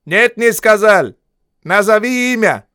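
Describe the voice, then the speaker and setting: A man voicing a suspicious palace officer who speaks slowly with clipped, commanding words, in an audiobook. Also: sits high, expressive, sharp tone, smooth, pitched above the performer's own voice, exaggerated voice. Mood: stern.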